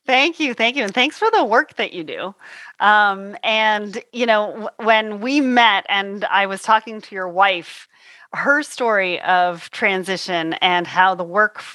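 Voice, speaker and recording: Upbeat woman, panel host, crisp bright tone, no room sound. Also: medium pitch, unhurried, dry recording. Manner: upbeat, enthusiastic, lively. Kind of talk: panel discussion moderation.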